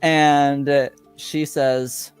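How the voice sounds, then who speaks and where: A man narrating a tabletop roleplaying game as game master, halting as he searches for words. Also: fairly high pitch, flat delivery, smooth, soft background music.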